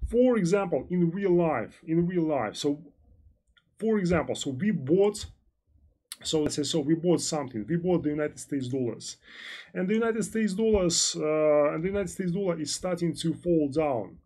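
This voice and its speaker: A man's voice: fairly high pitch, expressive, moderate pace, mellow. A man with a slightly raised voice hosts a live trading stream as a financial analyst. He talks in a loose, rambling way, stacking "so" and "well" and restarting his sentences as he answers viewers' chat messages between explanations.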